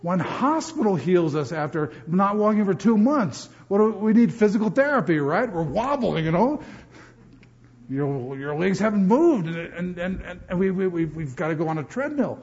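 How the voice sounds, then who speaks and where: A man from New Jersey preaching a sermon as pastor, animated and often repeating words as he builds emphasis. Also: high voice, normal speed, muffled recording.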